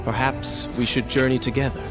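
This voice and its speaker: A man playing a proud, earnest young warrior prince in a video game, with a dramatic, expressive delivery. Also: mid-range voice, brisk, lightly acted. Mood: determined. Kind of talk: video game cutscene acting. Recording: voice over background music.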